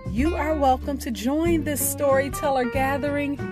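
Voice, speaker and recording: A woman hosting a podcast, reading a scripted sign-off with deliberate, emphatic enunciation over music. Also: high voice, fairly even intonation. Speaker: podcast host. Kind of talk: scripted podcast outro with spoken poetry.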